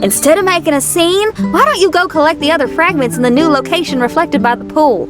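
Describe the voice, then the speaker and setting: A woman voicing a sensible, easygoing young adventurer in a video game, practical and gently placating. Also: high voice, fast talker, music in the background, close to an everyday voice.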